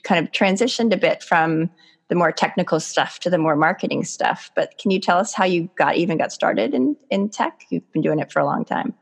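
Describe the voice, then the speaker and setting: A woman's voice: fairly low pitch, lively intonation, fairly quick, gravelly. A woman co-hosting a podcast, asking guests friendly questions and laughing along in casual chat. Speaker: podcast co-host.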